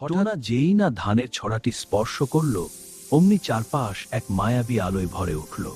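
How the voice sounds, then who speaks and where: A man's voice: medium pitch, big pitch swings, moderate pace, darkish tone. A man narrating a cartoon fairy tale in a storytelling manner. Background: faint music.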